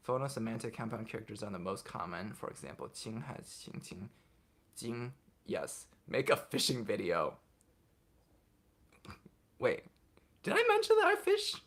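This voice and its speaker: Young guy on a livestream, kinda husky lowish voice, reads chat and just talks. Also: a little slow, long pauses. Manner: relaxed, reads chat comments aloud and answers off the cuff. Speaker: livestream host answering chat.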